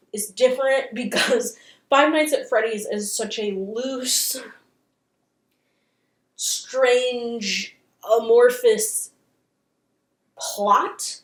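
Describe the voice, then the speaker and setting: A woman's voice: fairly high pitch, reverberant. A woman hosting a casual video commentary, chatty, opinionated and playfully dramatic in manner. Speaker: host of a channel on internet horror.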